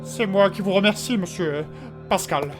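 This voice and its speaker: An actor playing a hesitant, apologetic subordinate eager to justify himself in a fantasy audio drama. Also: male voice, sits high, moderate pace, over music, lightly acted. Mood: servile.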